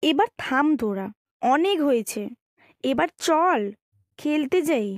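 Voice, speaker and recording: A woman's voice: high-pitched, expressive, slow, heavy background noise. A woman voicing a sensible, scolding planet Earth, a knowing friend character in a children's cartoon. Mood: chiding. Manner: knowing, admonishing.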